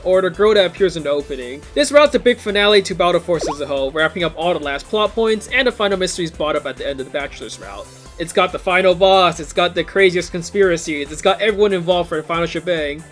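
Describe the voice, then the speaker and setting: A man's voice: high voice, fairly bright tone. A young adult man reading a scripted but casual video game review, with wry, informal asides. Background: background music.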